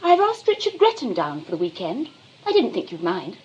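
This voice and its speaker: A woman's voice: mid-range voice, wildly swooping pitch, normal speed, a little mellow, breathy edge, dull audio, full character voice. An actress playing an earnest, indignant young British woman in a 1920s drawing-room comedy, speaking in a prim, reproachful, emphatic way. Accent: British English. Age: young adult. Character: earnest, indignant young Englishwoman, socially anxious daughter.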